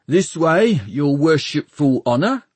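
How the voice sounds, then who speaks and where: A man's voice: fairly high pitch, very animated, slow, breathy edge, no room sound, voice pushed up, heavily acted. A man reading an audiobook puts on a bumbling manservant. He mumbles and fawns in a humble, deferential way, recorded dry and close. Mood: fawning, nervous. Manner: mumbling, obsequious. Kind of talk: audiobook character voice.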